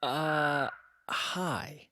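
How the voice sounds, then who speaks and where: A man's voice: on the high side, extremely slow, pushed and bright, slight background noise, exaggerated voice. A man playing a cheeky, streetwise young adventurer in a video game, with a casual, teasing, wry manner. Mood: unimpressed. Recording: game music behind.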